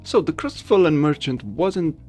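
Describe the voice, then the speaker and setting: A man's voice: a little high, expressive, unhurried, warm tone, soft background music. A man chatting casually to viewers as an amateur voice actor, self-deprecating, repeating himself and checking in with little tag questions. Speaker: amateur voice actor, video host.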